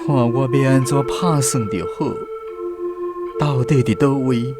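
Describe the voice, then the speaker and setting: A man with a Taiwanese accent playing a fearful, lost soul in a radio drama, pleading and lamenting theatrically. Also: on the high side. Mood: anguished. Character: anguished lost man, fearful and searching for peace.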